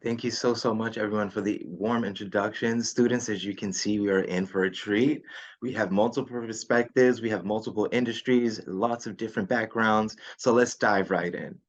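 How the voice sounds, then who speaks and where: A man's voice: lowish voice, fairly even intonation, moderate pace. A man moderating a panel, with brief, encouraging, upbeat reactions. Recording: slightly dull lo-fi sound.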